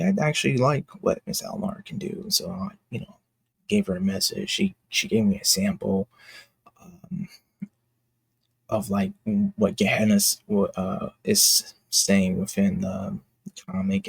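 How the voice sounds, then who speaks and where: Low, gravelly, husky-voiced man. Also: no room sound. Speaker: indie comic creator and video host. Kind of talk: casual behind-the-scenes commentary.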